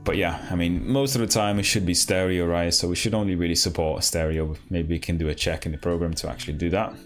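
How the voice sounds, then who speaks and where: Deep voiced guy live coding, kinda muttering questions to himself as he figures stuff out, bit of music in the back. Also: fairly even intonation. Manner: halting, muses aloud with questions to self. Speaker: livestream host, programmer. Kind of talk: casual live coding commentary, thinking aloud.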